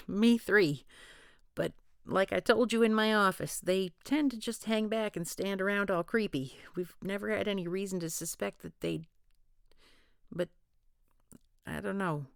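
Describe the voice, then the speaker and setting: A woman's voice: mid-range voice, measured pace, dry recording, studio quality. A woman voicing a blunt, foul-mouthed leader of a paranormal group in a scripted audio roleplay. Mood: wary. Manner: gruff, wisecracking, swears often.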